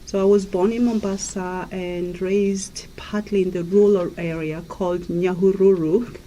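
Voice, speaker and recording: A woman from Kenya, a life coach, telling her story as a guest in a radio interview in a gentle, storytelling way. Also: mid-range voice, fairly even intonation, measured pace.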